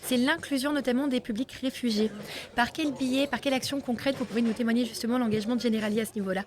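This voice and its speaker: Intervieweuse au débit très rapide. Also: female voice, on the high side, steady tone, a crowd in the background. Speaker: guest interviewer, training advisor. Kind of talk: formal interview question.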